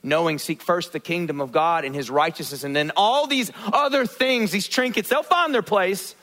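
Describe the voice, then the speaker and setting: A male pastor preaching a sermon, asking the congregation questions and acting out quotes in lively voices. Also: high-pitched, moderate pace. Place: church.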